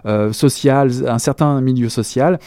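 A man's voice: mid-range voice, unhurried, dark tone, clean tone, no room sound. A man co-hosting a radio book show, reviewing crime novels in casual chat, hesitant with many fillers and restarts, joking about his own pronunciation.

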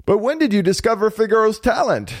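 A man's voice: high voice, expressive, brisk, dry recording, clean studio sound, voice pushed up. A man voicing a delighted, fatherly old puppet maker in a children's storybook. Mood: curious. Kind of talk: animated children's storybook character reading.

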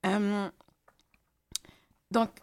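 A woman hosting an interview, asking questions in a lively, exclaiming way. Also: mid-range voice, extremely slow, smooth. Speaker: host. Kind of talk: casual interview.

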